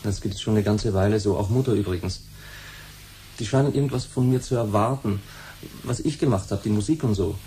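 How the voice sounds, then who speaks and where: Man acting grumbling young son, dark mellow tone, blunt, 1970s radio play, faint noise. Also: lowish voice, brisk, close to an everyday voice. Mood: sullen, matter-of-fact. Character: sullen, runaway teenage son who loves music.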